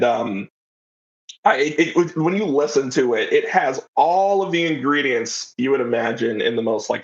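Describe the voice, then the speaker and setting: A man's voice: mid-range voice, muffled recording. A man talking casually as an interview guest, explaining things in a rambling, enthusiastic way. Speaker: interview guest, writer of an audio drama.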